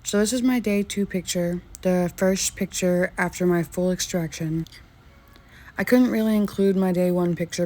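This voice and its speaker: A woman vlogger talking through her own recovery diary in a casual, halting way, with many pauses and fillers. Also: fairly low pitch, darkish tone.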